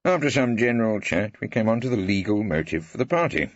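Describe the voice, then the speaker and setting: A man performs an old English barrister telling his story for an audiobook. The voice sits low and husky, swooping with wry, pompous relish. The sound is slightly dull. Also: expressive, fast talker, no room sound. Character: weary, witty old English barrister, grumbling narrator. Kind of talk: scripted first-person audiobook narration.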